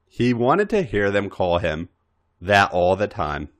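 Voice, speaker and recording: A man narrates a piece of adult fiction in a slightly low voice. His delivery is theatrical and swings widely in pitch, as he acts out the dialogue. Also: big pitch swings, measured pace. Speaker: podcast reader.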